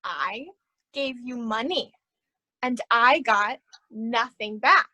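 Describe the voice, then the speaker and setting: Actress playing suspicious wronged woman, high bright voice, argumentative, staged play reading. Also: slow, dry recording, full character voice. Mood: aggrieved. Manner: insistent, argumentative. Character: aggrieved, suspicious woman accusing a scheme of cheating her.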